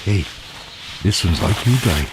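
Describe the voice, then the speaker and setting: An actor playing a blunt, chatty, grumbling road worker in a radio play, with colloquial speech. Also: male voice, low voice, animated, unhurried, vocal fry, heavy background noise, heavily acted. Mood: brisk, practical. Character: matter-of-fact, grumbling working man gritting roads.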